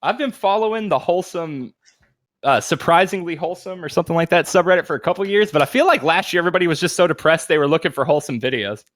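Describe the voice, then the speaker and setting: Casting: male podcast co-host, higher-than-average pitch, very dry close sound, loose bro slang and swearing. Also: lively intonation, fast talker, fairly bright tone, no echo. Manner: profane, slangy bro talk. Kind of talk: casual banter and storytelling.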